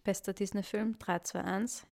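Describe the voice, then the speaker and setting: Gravelly mom with dry teasing. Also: female voice, medium pitch, steady tone, moderate pace, a little mellow. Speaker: podcast co-host, mother. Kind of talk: casual chat.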